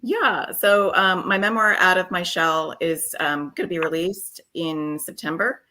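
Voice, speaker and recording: A woman's voice: fairly low pitch, unhurried. A woman in her fifties, a therapist guest on a video call, talking frankly with many ums. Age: 50s. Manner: warm, frank, filler-heavy with many ums. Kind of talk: casual interview chat.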